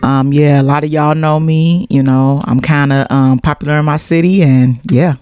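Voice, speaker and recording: A woman's voice: deep voice, steady tone, normal speed, dark tone, a little hiss or hum. A middle-aged American woman from Cleveland, chatting casually as an interview guest and telling stories. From Cleveland, USA. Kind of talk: casual conversational storytelling.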